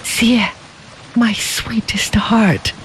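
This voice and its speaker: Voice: mid-range voice, expressive, measured pace, darkish tone, slight background noise, lightly acted, rough recording. A storyteller voicing a loving but sorrowful young husband who murmurs tenderly. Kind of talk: storybook reading.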